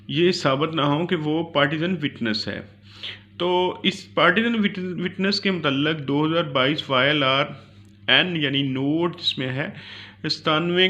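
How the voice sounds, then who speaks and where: A Pakistani man giving an explanatory lesson as a lecturer, halting and repetitive, mixing in English technical terms. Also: a little high, pushed and bright. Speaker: law lecturer, channel host.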